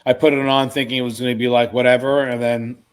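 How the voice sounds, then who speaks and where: Regular guy on a panel just chatting, medium pitch, casual. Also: level tone, fast talker, clean tone. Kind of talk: casual chat.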